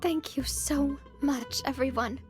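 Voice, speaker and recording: A woman's voice: sits high, steady tone, slow pace, soft background music. An actress voicing a heartfelt, proud and easily flustered young woman boss in a video game. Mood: moved, grateful. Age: young adult.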